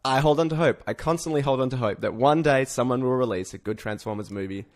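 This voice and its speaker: A man's voice: mid-range voice, quick pace. A man co-hosting a podcast, chatting casually about films with informal, sweary, joking banter. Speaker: podcast co-host.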